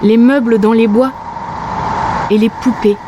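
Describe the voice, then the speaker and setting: A woman's voice: a little high, fairly even intonation, unhurried, noisy background. A woman in her late thirties from the south of France, narrating in an intimate, reflective first-person voice. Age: late 30s.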